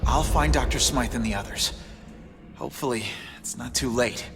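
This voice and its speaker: A man's voice: mid-range voice, moderate pace, big-room sound, over music, lightly acted. An actor playing a wisecracking but earnest young male hero in a video game, with casual, quippy delivery. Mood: earnest concern. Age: young adult. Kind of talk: video game cutscene acting.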